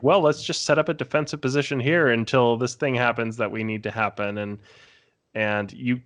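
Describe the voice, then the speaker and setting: Guy on a podcast, lowish voice, thinking back over stuff in a chatty way. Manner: reflective, conversational. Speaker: podcast panellist. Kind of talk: casual podcast discussion.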